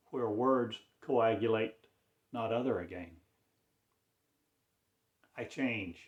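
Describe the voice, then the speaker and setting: A man delivering a rambling spiritual monologue in a slow, drawn-out, cryptic declaiming manner. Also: mid-range voice, reverberant, dull audio.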